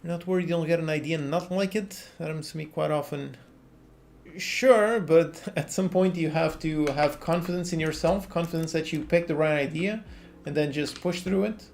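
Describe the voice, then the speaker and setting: A man's voice: on the high side, normal speed, vocal fry, slight background noise. A Portuguese man in his thirties with a Portuguese accent, chatting casually on a livestream as he works on a game and answers viewers' questions frankly. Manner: relaxed, reads chat questions aloud and answers frankly. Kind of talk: casual livestream chat while working. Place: home office, indoors. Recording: quiet lofi music underneath.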